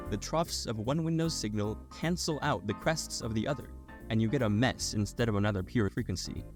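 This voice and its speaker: A man's voice: mid-range voice, a little mellow. A young adult man reading a scripted technical explainer as a narrator.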